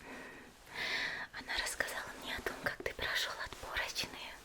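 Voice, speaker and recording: A woman's voice: moderate pace, lightly acted. Woman acting as sweet shy anime warrior girl, asmr roleplay, coy little hesitations, all whispered. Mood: shy, admiring. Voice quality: soft whisper. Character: sweet, bashful young woman demon-slayer warrior, admiring.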